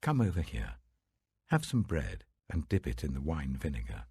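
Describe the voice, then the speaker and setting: A British man voicing a kindly, dignified older landowner in an audiobook scripture story, gentle, authoritative, protective and generous. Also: extremely low pitch, wildly swooping pitch, moderate pace, breathy edge, dry recording, clean studio sound, pitched below the performer's own voice, full character voice.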